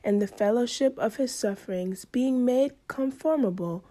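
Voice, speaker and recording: A woman's voice: fairly high pitch, normal speed, dark tone. A woman reading a scripted devotional sign-off and scripture.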